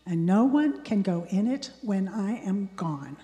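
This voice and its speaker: A woman voicing a stubborn boy who guards his house, speaking slowly and firmly in a church sanctuary. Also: mid-range voice, expressive, dark tone, faint music behind, natural voice. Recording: long hall reverb.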